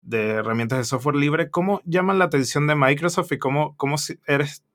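A man's voice: a little high, a little fast, a bit bright. A man hosting a podcast interview, talking casually with frequent hesitations and restarts. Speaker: podcast host.

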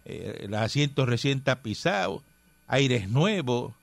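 A man's voice: mid-range voice, sing-song, slow pace, gravelly, no room sound. A man from Cuba hosting a casual radio talk show, rambling and emphatic with many fillers. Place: radio studio.

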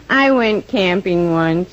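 An actress with an American accent playing a dim, dreamy young housemaid with a deadpan, naive manner, in old-time radio comedy style. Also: female voice, medium pitch, slow pace. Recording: muffled, noisy vintage radio recording.

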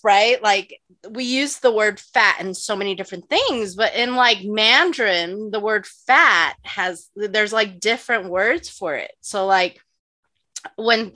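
A woman co-hosting a casual podcast, talking loosely and laughing often as she explains nutrition. Her voice is bright and forward, at a middle pitch. The sound is dry, with no room echo. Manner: chatty, lots of "like" and "you know". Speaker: podcast co-host.

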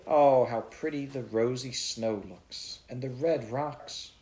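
A man reading aloud, voicing an eager, excitable little girl with a raised, wondering voice in a storybook. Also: takes it slowly, slight background noise, close to an everyday voice. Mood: excited wonder. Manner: breathless, wondering, raised pitch.

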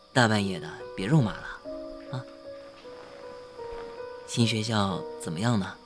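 A man's voice: on the low side, takes it slowly. A young man as a college freshman in an audio drama. He talks in a casual, teasing way, wry and a little touchy, with wistfulness underneath. Music plays behind the voice. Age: young adult. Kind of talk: Chinese audio drama acting.

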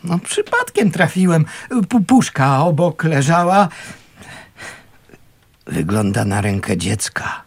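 A husky, hesitant homeless can collector. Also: male voice, a little high, huge pitch swings, full character voice. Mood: uneasy. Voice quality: husky, breathy. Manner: hesitant, halting. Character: shabby, cautious, kindly homeless man who collects cans. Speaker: actor in a radio play. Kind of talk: naturalistic radio drama acting.

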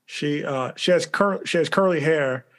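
A man's voice: fairly high pitch, normal speed. A man co-hosting a podcast, chatting in an easygoing way with light laughter and casual fillers. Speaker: podcast co-host. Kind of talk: casual conversational chat.

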